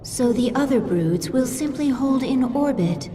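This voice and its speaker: An actress playing a dutiful alien brood lieutenant in a video game, speaking in a formal, measured way. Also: female voice, sits high, animated, noisy background. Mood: dutiful, attentive. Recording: loud music behind game dialogue.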